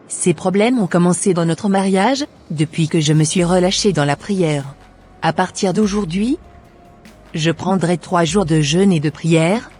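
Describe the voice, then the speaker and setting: A woman playing a devout wife, husky, lowish. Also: a little mellow. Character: devout, troubled wife praying for her marriage.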